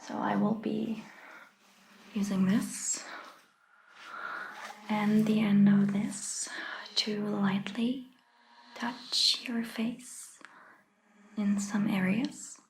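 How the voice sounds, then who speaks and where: A woman playing a gentle, attentive vampire doctor in a soft ASMR roleplay, whispering breathily and giving soothing, reassuring instructions. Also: medium pitch, little pitch movement, drawn-out words, creaky, long pauses, echoey room, slight background noise, low-quality recording. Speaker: ASMR performer.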